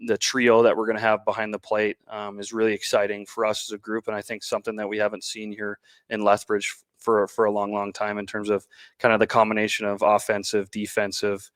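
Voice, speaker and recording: A Canadian man speaks as a coach in a sports interview, in a low voice with very little melody. He pauses often and feels his way through his answers. The sound is dry, with no room around it. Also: monotone, a bit bright, no room sound. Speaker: baseball coach being interviewed.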